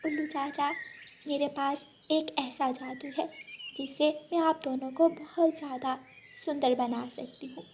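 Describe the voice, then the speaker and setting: A woman's voice: high voice, steady tone, unhurried, a little mellow, slight background noise, voice pushed up. A woman voicing a brave, kind little female bird in a children's cartoon, earnest and pleading in manner. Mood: coaxing. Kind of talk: Hindi children's cartoon acting.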